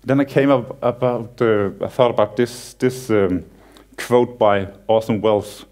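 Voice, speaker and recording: Danish man, 30s, conference speaker on stage in a cinema hall, easygoing and joking, stumbles a bit. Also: fairly low pitch. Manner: relaxed, self-deprecating humor with frequent halting restarts. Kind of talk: conference talk, casual and joking.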